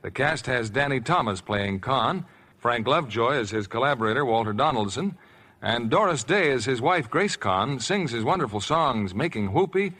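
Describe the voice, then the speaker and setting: Male host for an old-time radio theater: scripted, polished, lively swooping delivery, medium pitch. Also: normal speed. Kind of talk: 1950s radio announcing, scripted. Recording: vintage 1950s radio broadcast.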